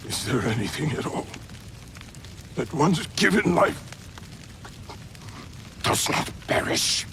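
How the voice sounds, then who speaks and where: An actor playing a proud, defeated middle-aged Japanese warlord in a video game, speaking gravely and solemnly as he faces death. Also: a little high, very animated, slow pace, background noise, full character voice. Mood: resigned, grave. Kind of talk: dramatic video game cutscene acting.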